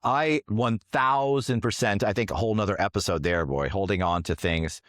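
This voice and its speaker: A man with a big voice co-hosting a podcast, affirming his partner with enthusiasm and telling stories in an animated way. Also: lowish voice, normal speed, dry recording, studio quality. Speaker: podcast co-host. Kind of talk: casual conversational chat.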